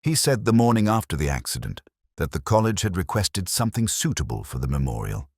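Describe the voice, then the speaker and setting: A man playing a brooding, melancholy police detective, narrating his story in a measured, solemn, literary manner. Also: deep voice, sing-song, slightly rough, dry recording, studio quality, exaggerated voice. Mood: brooding, reflective.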